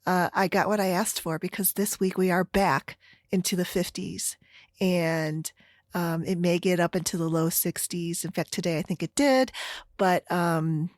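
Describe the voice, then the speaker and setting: A woman's voice: on the low side, steady tone, measured pace, no echo, studio quality. An American woman hosting a solo podcast, chatting casually in a rambling way. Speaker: podcast host.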